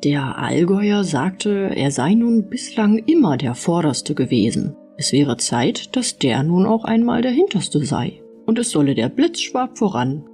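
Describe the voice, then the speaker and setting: A woman narrating an audiobook fairy tale in an expressive storytelling style. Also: fairly low pitch, a little slow, hardly any pauses, faint music behind.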